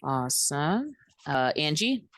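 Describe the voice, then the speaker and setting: Low lively woman hosting a dating show. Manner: brisk, calls names, laughs often. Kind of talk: hosting a group call.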